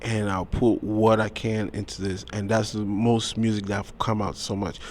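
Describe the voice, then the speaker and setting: A man with a lowish voice, talking casually as a guest musician in an interview. He is animated and emphatic and often repeats phrases. A faint hiss of noise sits behind him. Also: normal speed.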